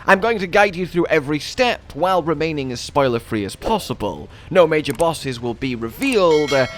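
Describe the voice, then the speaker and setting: A man with a fairly high, very lively voice narrates a video game guide. He leans hard on key words with dramatic emphasis, swinging widely in pitch as he walks through the steps. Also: normal speed. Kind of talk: scripted game walkthrough narration.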